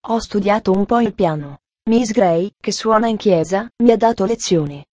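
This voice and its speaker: A woman's voice: medium pitch, normal speed, darkish tone, no echo, close to an everyday voice. A woman voicing a cheerful, talkative young girl who speaks in an eager, earnest way, in a storybook reading.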